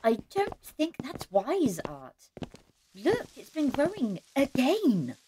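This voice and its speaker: Woman as slow-paced, gentle young student. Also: high-pitched, huge pitch swings, exaggerated voice. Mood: concerned. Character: friendly, cautious, sympathetic young college woman. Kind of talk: audio drama acting. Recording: noisy recording with clicks.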